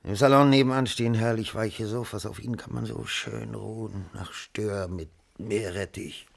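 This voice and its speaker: An actor playing a tipsy gentleman who goads his company with pompous mock politeness in a radio drama. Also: lowish voice, steady tone, normal speed, creaky. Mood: smug, provocative. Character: goading, tipsy gentleman drinker, pompous and provocative. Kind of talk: German radio drama acting.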